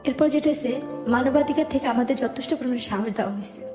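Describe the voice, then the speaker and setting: A woman's voice: high voice, quick pace. A woman with a Bangladeshi accent giving personal testimony in colloquial, conversational speech, narrating step by step and quoting what people said. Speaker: interview guest telling her life story. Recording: echoey room with music underneath. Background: background music.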